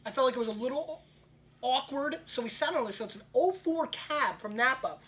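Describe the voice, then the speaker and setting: A man's voice: very high-pitched, lively intonation, sharp tone. A man in his thirties hosting a wine tasting show, brash and joking as he chats. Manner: brash, rapid-fire, joking. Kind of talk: casual tasting chat.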